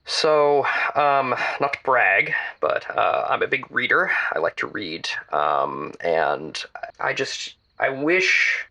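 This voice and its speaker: A man's voice: mid-range voice, sing-song, takes it slowly, pushed and bright, lo-fi sound, heavily acted. A man playing a bashful, lonely, bookish caller in a comedy audio drama, speaking hesitantly and self-consciously. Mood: bashful, yearning. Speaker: caller on a radio advice show.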